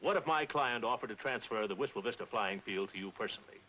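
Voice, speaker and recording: An actor playing a pompous, scheming middle-aged neighbor in a 1940s comedy, stammering and unctuous. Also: male voice, medium pitch, quick pace, natural voice. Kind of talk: 1940s radio-style film comedy acting. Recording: muffled, hissy 1940s film soundtrack.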